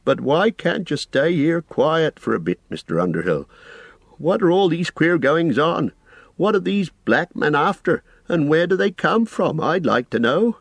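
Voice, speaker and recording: A man's voice: on the high side, big pitch swings, unhurried, vocal fry, no echo, voice pushed up, full character voice. A man voicing a fussy, kindly, rustic innkeeper who chatters and exclaims in an audiobook. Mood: alarmed. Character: fussy, flustered, kindly fat male innkeeper. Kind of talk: audiobook character voice.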